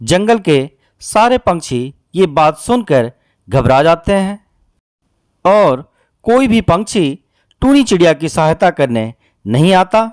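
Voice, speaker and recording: A man's voice: high-pitched, very animated, slow pace, no echo, clean studio sound. A man narrating a scripted children's cartoon story as a storyteller.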